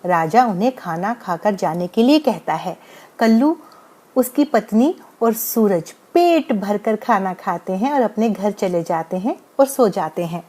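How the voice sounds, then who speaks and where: A woman's voice: mid-range voice, animated, unhurried, warm tone. A woman narrating a scripted bedtime moral story as the storyteller.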